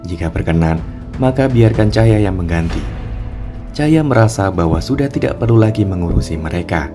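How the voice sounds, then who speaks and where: A man narrating a cartoon drama in an even, storytelling way. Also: sits low, a little fast, over music. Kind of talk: scripted narration.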